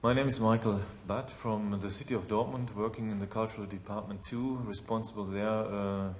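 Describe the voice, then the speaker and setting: A man's voice: on the low side, steady tone, moderate pace, a little hiss or hum. A man introducing himself at a meeting, hesitating often with uhs. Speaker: city culture department worker. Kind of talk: round-table self-introduction. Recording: echoey hall with faint ambience.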